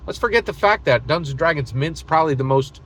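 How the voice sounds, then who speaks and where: An American man hosting a casual, opinionated gaming monologue, speaking emphatically and repetitively, often halting mid-thought and leaning on hyperbole. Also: a little high, lively intonation, a bit bright, slight background noise. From United States. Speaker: host of a tabletop gaming video channel.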